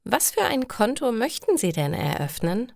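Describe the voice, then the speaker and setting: A woman voicing a polite, helpful female bank clerk in slow, formal storybook dialogue. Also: a little high, big pitch swings, vocal fry, no echo, clean studio sound, pitched above the performer's own voice, heavily acted.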